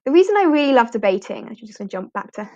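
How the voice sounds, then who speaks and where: A woman's voice: fairly high pitch, big pitch swings, racing, darkish tone, no room sound, lo-fi sound. A British woman from the UK, an online English teacher, rambling chattily through a live walkthrough, with fillers and light laughs. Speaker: online ESL teacher hosting a livestream.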